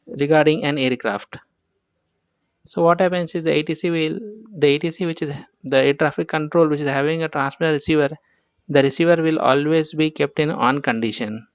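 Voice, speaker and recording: A man with an Indian accent teaches in a fairly high, smooth voice. He explains informally, one step at a time, circling back over his points. The recording is dry and a little dull. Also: dry recording. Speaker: instructor.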